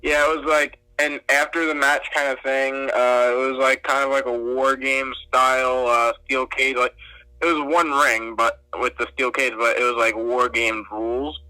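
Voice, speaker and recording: A man's voice: mid-range voice, little pitch movement, normal speed, bright, forceful tone, no echo, rough recording. A young man, a wrestling referee, answering interview questions casually with lots of "like" fillers. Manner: chatty, many fillers like "like".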